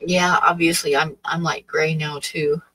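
A woman's voice: low voice, level tone, a little slow, a bit bright, no room sound, poor audio. A woman in her sixties from Oregon, hosting a livestream and chatting casually with her viewers in a rambling, hesitant way full of fillers. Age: 60s. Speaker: livestream host. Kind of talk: casual chat with live chat. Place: small dim room with draped wall.

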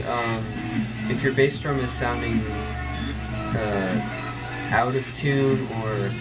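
A man in his twenties with a low voice, explaining drum tuning in a rambling, casual way with many ums, recorded in a small padded bedroom on a camera mic. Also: rough recording. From Florida, USA. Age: 20s. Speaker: drum instructor. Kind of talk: casual tutorial. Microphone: camera mic from a few feet away.

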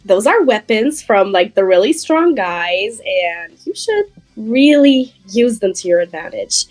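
A woman's voice: medium pitch, animated, measured pace, darkish tone, dry recording, faint music behind. A woman voicing a bossy young hedgehog sorceress, blunt and casual as she coaches and scolds in an improvised comedy fan dub.

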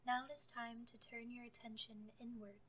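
A woman leading a guided meditation, speaking gently and calmly as she gives soft scripted instructions. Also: on the high side, moderate pace, slight background noise.

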